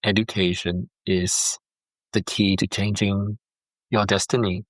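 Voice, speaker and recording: Foster dad guest from Singapore, middle-aged, earnest, repeats himself, lower voice, dry call audio. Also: male voice, flat delivery, unhurried, dry recording, rough recording. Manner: earnest, repeats words while thinking. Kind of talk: heartfelt interview over a call. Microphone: video call.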